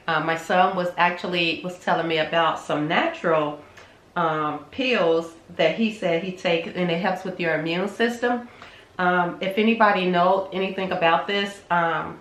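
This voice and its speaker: A woman's voice: low-pitched, fairly bright tone, reverberant. A woman with a cold, sounding congested, chatting casually to her vlog viewers in a rambling way with lots of ums. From Chicago. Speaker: vlogger. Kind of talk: casual chat to camera.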